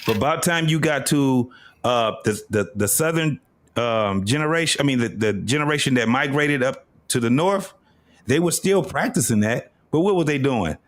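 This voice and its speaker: A man's voice: mid-range voice, animated, moderate pace. A man in his fifties talking in a casual, heated panel discussion, explaining at length and repeating his points emphatically. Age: 50s.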